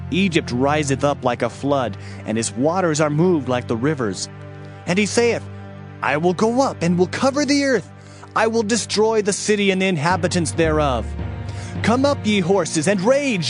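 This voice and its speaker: A man playing a stern prophet proclaiming God's wrathful judgment in a solemn, declamatory dramatized Bible reading. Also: sits high. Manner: solemn, declamatory, archaic oratory. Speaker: dramatized scripture reader. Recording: background music under the voice.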